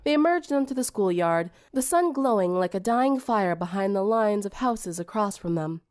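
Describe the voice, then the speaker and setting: A woman's voice: mid-range voice, expressive, moderate pace, darkish tone. A woman reading scripted fiction aloud as an audiobook narrator.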